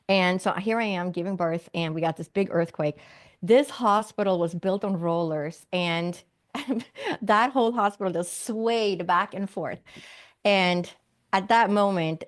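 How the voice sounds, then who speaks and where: A middle-aged woman from Sweden, a physician and medium, telling her story earnestly in an interview with light laughs. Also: on the low side.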